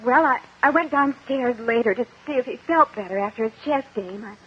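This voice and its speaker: An actress playing an uneasy, defensive young heiress, hesitant and guarded, in a 1950 radio courtroom drama. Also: female voice, fairly high pitch, brisk, husky. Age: young adult. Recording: muffled, hissy old radio recording.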